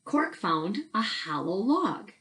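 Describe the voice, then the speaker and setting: A middle-aged woman reads a children's story aloud at home. Her pitch moves widely, and she speaks conversationally, as if straight to young listeners. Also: big pitch swings, slow pace, a bit bright. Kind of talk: casual chat and storybook reading to children. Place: indoors in a bedroom at home.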